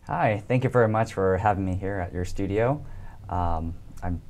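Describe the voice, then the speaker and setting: A man in his thirties from the United States, talking casually as an interview guest, relaxed with many ums and easy laughs. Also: deep voice, normal speed, slight background noise.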